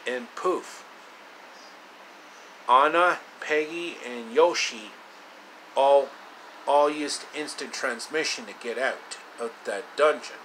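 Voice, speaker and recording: A man retelling a fairy tale in his own loose way, with halting, drawn-out delivery and casual asides. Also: mid-range voice, fairly bright tone, a little hiss or hum, poor audio. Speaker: storyteller.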